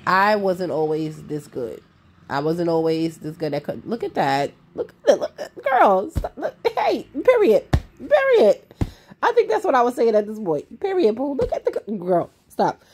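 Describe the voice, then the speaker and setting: A woman's voice: mid-range voice, big pitch swings, normal speed, a little mellow, slight background noise. A woman in her thirties, a makeup artist with a cold and a nasal voice, narrating her tutorial over the footage in a sassy, emphatic way. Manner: sassy, emphatic, talks to viewers as "girl".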